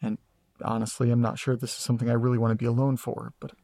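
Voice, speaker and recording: A man's voice: medium pitch, flat delivery, fast talker, creaky, dark tone, dry recording, studio quality, natural voice. A man playing an uncertain but curious character in an audio drama, tentative and thinking aloud. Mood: musing, torn. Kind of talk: scripted audio drama dialogue.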